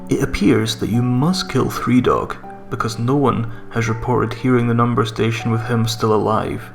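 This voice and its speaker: A man with a mid-pitched, slightly gravelly voice narrates a scripted horror tale. His reading is measured and deliberate. Music plays faintly behind him. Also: fairly even intonation. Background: background music.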